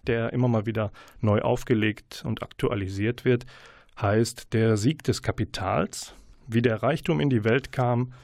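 A man presenting book tips on a radio show in a conversational, measured way with some hesitations. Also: mid-range voice, a little mellow, no echo, clean studio sound.

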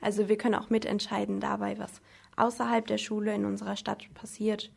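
Teenage girl explaining things, slightly creaky voice. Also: mid-range voice, little pitch movement, brisk, darkish tone. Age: teens. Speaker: student council representative being interviewed. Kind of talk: interview answers in simple explanatory language.

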